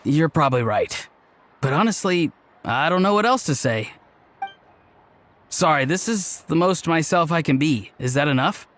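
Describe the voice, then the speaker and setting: A deadpan, laid-back young man. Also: on the high side, moderate pace, pauses often, slight background noise. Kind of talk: video game cutscene voice acting.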